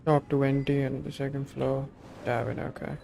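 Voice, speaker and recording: Male live streamer: casual gameplay commentary, offhand, creaky voice, little pitch movement. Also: flat delivery, normal speed, dark tone, a little hiss or hum. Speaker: game streamer.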